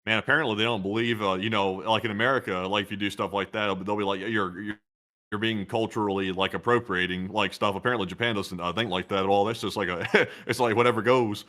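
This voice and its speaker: Male co-host, quick and bright, laid-back and joking. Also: fairly low pitch, fairly even intonation. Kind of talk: casual chat.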